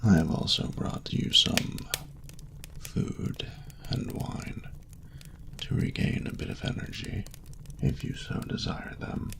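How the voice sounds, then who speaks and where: A man voicing a suave, doting dragon lover in an intimate romantic audio roleplay, speaking formally and seductively in a breathy, gravelly half-whisper. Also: a bit bright, a little hiss or hum. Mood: fond, gently teasing. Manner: slow, breathy, formal archaic phrasing, seductive.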